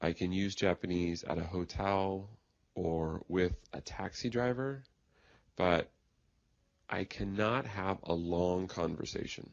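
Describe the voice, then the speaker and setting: A man speaking slowly in a simple, carefully worded conversation made for English learners. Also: deep voice, no echo, dull audio. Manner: plain, careful wording. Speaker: conversation partner in English lesson.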